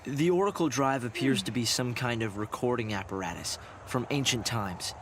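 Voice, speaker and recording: An actor playing a thoughtful young research director in a video game, explaining things in a measured, earnest way. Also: male voice, medium pitch, noisy background, close to an everyday voice. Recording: loud orchestral music behind. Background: game music.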